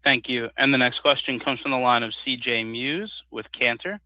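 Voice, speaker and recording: A man's voice: medium pitch, normal speed, no room sound. A dry, slightly muffled line carries a man's formal voice. He is a conference operator reading scripted introductions for callers.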